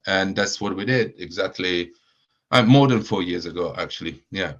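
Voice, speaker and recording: Low-voiced man with many fillers, lo-fi. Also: normal speed, fairly bright tone. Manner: hesitant, many fillers and repeated words. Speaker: supporters club founder, guest. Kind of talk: casual podcast chat. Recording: dull lo-fi audio.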